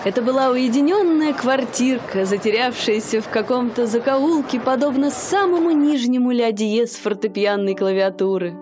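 A woman's voice: fairly high pitch, normal speed, hardly any pauses, natural voice. A young woman playing an aspiring pianist in a radio play, speaking earnestly and measuredly. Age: young adult.

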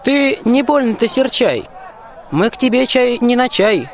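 A man's voice: very high-pitched, sing-song, unhurried, some chatter behind, heavily acted. A man in a radio play acting a bold, plain-spoken peasant soldier who scolds in folksy rhymed verse. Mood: threatening. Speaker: school student actor.

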